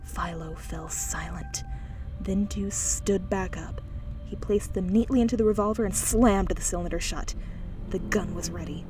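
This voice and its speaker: A woman's voice: mid-range voice, measured pace, over music. A woman reading an audiobook, narrating scripted fiction in a dramatic style.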